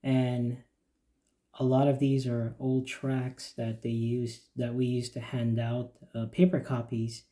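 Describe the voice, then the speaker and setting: A man explaining the features of a church website in a steady, plain walkthrough with occasional fillers. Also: medium pitch, slow pace. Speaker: church website developer giving a demo. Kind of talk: screen-recorded software walkthrough.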